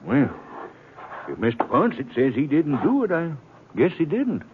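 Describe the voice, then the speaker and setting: An actor playing a courteous, eager small-town banker with an American Western accent, folksy and emphatic, in old radio drama style. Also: male voice, on the high side, wildly swooping pitch, moderate pace, slight background noise. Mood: earnest, grateful. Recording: thin, tinny vintage radio recording.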